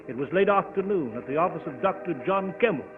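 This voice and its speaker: An actor playing a genial doctor who narrates an old adventure in 1940s radio style. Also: male voice, on the high side, lively intonation, quick pace, close to an everyday voice. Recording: tinny, hissy, echoey old radio broadcast.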